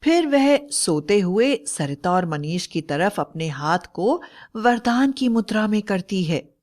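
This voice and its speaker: A woman's voice: mid-range voice, lively intonation, slow, darkish tone. A woman narrating a scripted moral story in a storybook style.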